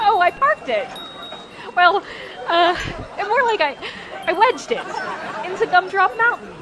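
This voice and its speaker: Goofy female space pilot, extremely high voice, slow rambling delivery, childlike, radio comedy, noisy recording. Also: sing-song, crowd noise, exaggerated voice. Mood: giddy, sheepish. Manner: childlike, rambling, giddy or drowsy. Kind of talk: sci-fi comedy radio drama acting.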